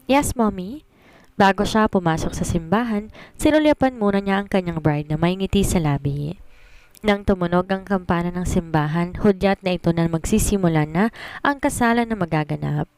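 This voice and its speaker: A woman's voice: fairly low pitch, expressive, brisk, darkish tone, clean tone. A woman narrating a scripted romance story as a storyteller.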